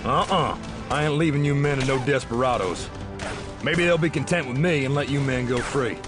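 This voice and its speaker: An actor playing a steadfast, plain-spoken American frontier marshal with a dry, folksy manner in a western audio drama. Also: male voice, mid-range voice, normal speed, natural voice. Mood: resolute. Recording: music behind.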